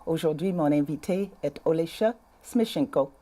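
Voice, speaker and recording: Quebec lady hosting a local tv chat, deep warm voice, lots of euh. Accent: Québécois. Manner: halting questions with many fillers. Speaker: host. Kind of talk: community TV interview.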